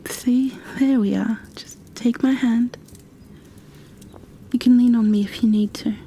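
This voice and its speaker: A woman playing a gentle, protective creature bookkeeper in a soft-spoken ASMR roleplay, hushed, soothing and a little hesitant. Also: on the high side, steady tone, measured pace, slight background noise. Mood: apologetic, tender.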